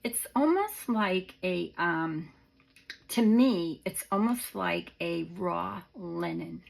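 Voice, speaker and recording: A hoarse woman showing off craft supplies in a casual show-and-tell, gushing softly and often dropping to a whisper. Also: fairly low pitch, lively intonation, takes it slowly, rough recording. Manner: soft-spoken, gushing, often drops to a whisper. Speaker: craft vlogger.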